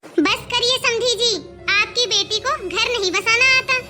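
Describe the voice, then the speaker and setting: A woman voicing an older, boastful, fault-finding mother-in-law with drawn-out delivery in a cartoon family drama. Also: very high-pitched, loud music behind the voice. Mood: dismissive.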